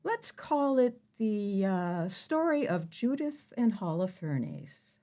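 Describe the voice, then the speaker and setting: A woman playing a proud biblical heroine in an audio play, telling her tale in a measured, mocking manner. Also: mid-range voice, sing-song, darkish tone. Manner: measured, mocking, deliberate storytelling. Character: proud, sardonic biblical heroine who slew an enemy general. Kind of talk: theatrical audio-play monologue.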